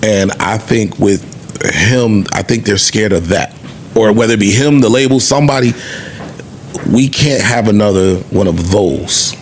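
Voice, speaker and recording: A man's voice: fairly low pitch, measured pace, slight background noise. A man co-hosting a podcast, talking casually and emphatically in slangy, conversational speech about the music business. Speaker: podcast co-host. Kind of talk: casual music-industry discussion.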